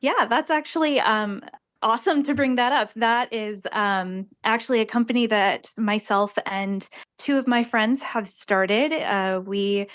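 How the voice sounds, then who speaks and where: A woman's voice: a little high, a little slow, a bit bright. A woman speaking casually and earnestly as an interviewed theater director, with an enthusiastic manner.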